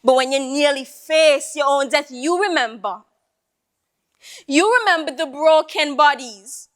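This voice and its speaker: A woman's voice: sits high, pushed and bright, heavily acted. A woman performing a theatrical monologue as a proud, weary old Jamaican Creole woman, lamenting and wistful, full of rhetorical questions and sighs. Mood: haunted, remembering. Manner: slow, lamenting, rhetorical, with sighing exclamations.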